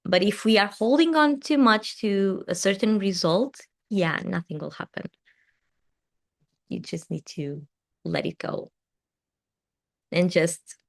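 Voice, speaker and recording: A Portuguese woman with a Portuguese accent, English not her first language, hosting a live tarot and meditation stream, talking in a gentle, soothing way that often trails off softly. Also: lowish voice, gravelly. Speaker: tarot reader and meditation host. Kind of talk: live-stream chat answering viewer comments.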